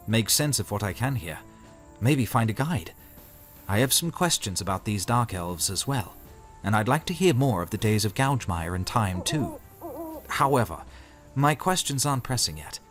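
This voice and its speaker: A man's voice: medium pitch, lightly acted. A man playing an earnest, courteous young swordsman in a fantasy audio story.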